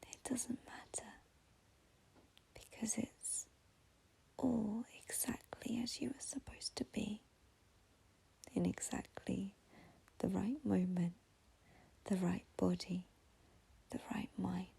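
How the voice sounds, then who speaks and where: A woman guides a meditation slowly and gently, leaving long pauses between short phrases. Her voice is very breathy and often slips into a whisper, with heavy creak at the edges. The delivery is soft, mellow and soothing. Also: medium pitch, fairly even intonation. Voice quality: breathy, husky, half-whispered.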